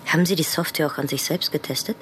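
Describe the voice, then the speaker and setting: Woman acting pointed skeptical young-ish musician lady, lowish voice, noisy behind, radio play. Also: fairly even intonation, fast, lightly acted. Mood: challenging. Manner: pointed, direct questioning. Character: sceptical, challenging female violinist.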